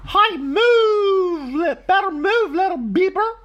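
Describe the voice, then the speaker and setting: A performer voicing a big, impatient, hollering street sweeper from a storybook in a bossy, shrill yell. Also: lively intonation, slow pace, dull audio, full character voice.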